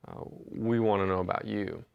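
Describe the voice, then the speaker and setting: A man, telling stories in a relaxed, conversational sermon. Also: low voice, moderate pace, gravelly, smooth, lo-fi sound. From Western North Carolina. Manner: conversational storytelling with frequent uh and restarts. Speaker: pastor. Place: church sanctuary.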